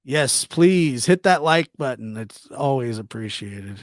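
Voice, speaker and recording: A man's voice: medium pitch, lively intonation, unhurried, no echo, studio quality. A man hosting a livestream, chatting casually and reacting to viewer comments in an informal, teasing way, often repeating himself. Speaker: livestream host. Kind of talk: casual live chat commentary, reading and answering viewer comments.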